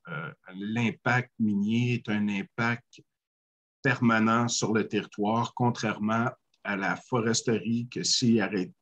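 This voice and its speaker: A man in his forties speaks with a Quebec French accent, measured and halting, filling gaps with long hesitations. His voice sits a bit low. He comes through a webcam on a video call. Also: a bit bright. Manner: measured, many hesitant "euh" pauses. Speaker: First Nation panelist. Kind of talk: panel talk on a video call.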